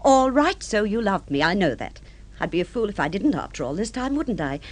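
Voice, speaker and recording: An actress playing a middle-aged social-climbing wife and fantasist in a radio drama, with a gushing, theatrical, affected manner. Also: female voice, medium pitch, very animated, brisk. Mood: exasperated, pleading. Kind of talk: mid-century radio drama acting.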